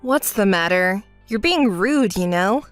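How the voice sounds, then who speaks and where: A woman voicing a calm, fed-up young woman who answers in a level, dry, scolding way in a dramatized story. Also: mid-range voice, measured pace, no echo, soft background music, pitched below the performer's own voice, natural voice.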